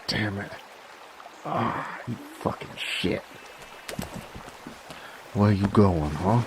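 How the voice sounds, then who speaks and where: A very low, gravelly cowboy bounty hunter with a wolfish side , acted by a man, gruff and cocky in an American Western drawl. He takes his time, taunting in folksy, profane phrases for an audio drama. Clear background noise sits behind the voice. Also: exaggerated voice. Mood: angry, straining. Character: gruff, cocky male werewolf bounty hunter of the Old West. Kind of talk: dramatic roleplay audio monologue.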